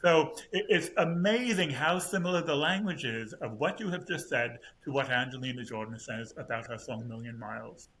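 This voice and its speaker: A man's voice: medium pitch, normal speed, hardly any pauses, a bit bright, rough recording. A man hosting a podcast interview, asking questions with dry, wry humor. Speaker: podcast host. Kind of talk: casual interview chat.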